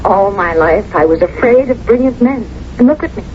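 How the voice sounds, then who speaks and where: An actress playing an adoring, playful fiancée, gushing and teasing, in 1970s radio drama style. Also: female voice, mid-range voice, lively intonation, brisk, natural voice. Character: adoring, playful fiancée in love with brilliant man. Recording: muffled, noisy old radio broadcast.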